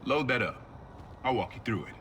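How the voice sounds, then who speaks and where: Man playing bright-voiced, streetwise middle-aged uncle. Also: medium pitch, animated, brisk, a little hiss or hum, natural voice. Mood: casual, guarded. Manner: direct, casual, avuncular. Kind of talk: video game cutscene acting.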